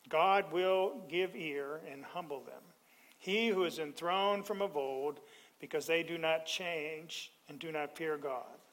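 Bright, high-voiced preaching man. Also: rough recording. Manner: animated preaching with humor. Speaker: pastor. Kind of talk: sermon.

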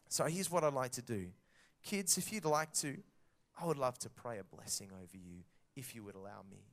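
A man preaching a sermon to children and parents, telling a story in a lively way and acting out the dialogue. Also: fairly low pitch.

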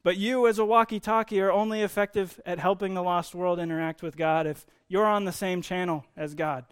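A man's voice: high-pitched, steady tone, brisk, clear, resonant tone. A man giving a conversational sermon, explaining Bible teaching in an informal way with emphasis on key words.

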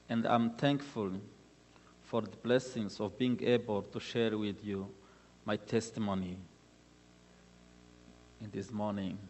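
A man with a Rwandan accent gives an earnest church testimony in deliberate, short, halting phrases. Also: fairly low pitch, a little mellow. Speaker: guest speaker giving testimony. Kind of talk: church testimony with scripture readings. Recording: reverberant hall with long echo.

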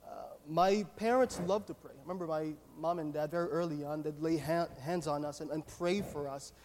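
A nervous man with a Haitian accent, a native Haitian Creole speaker, giving a personal testimony sermon in an earnest, halting way, repeating words as he goes. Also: on the high side, a little mellow. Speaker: guest preacher at a church. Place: church sanctuary, speaking to a congregation. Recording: echoey hall with long reverb.

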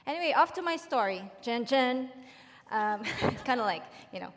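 A woman's voice: fairly high pitch, animated, moderate pace. Middle-aged woman speaking in a reverberant hall. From Philippines. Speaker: church member giving testimony. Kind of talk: prepared personal testimony. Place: large church hall.

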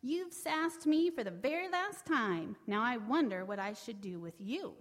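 A woman voicing a sly, scheming male fox who gloats in a slow drawl. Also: fairly high pitch, big pitch swings, in a large hall. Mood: gloating.

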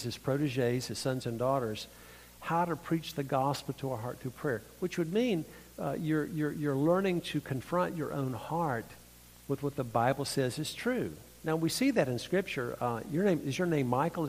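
A middle-aged man with a thin voice gives an earnest, reflective sermon as a conference speaker, often pausing and restarting his phrases. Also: mid-range voice. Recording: long hall reverb.

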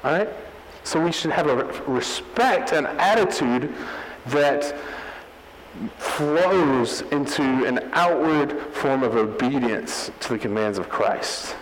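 A man's voice: medium pitch, lively intonation, takes it slowly, in a large hall, a little hiss or hum, low-quality recording. A man preaching a teaching sermon, earnest and explanatory, often restarting his phrases. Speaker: pastor.

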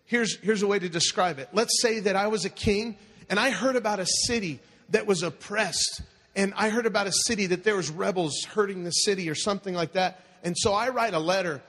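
Animated preacher with hall reverb. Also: male voice, high voice, brisk. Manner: animated, asks the congregation to repeat phrases. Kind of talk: sermon.

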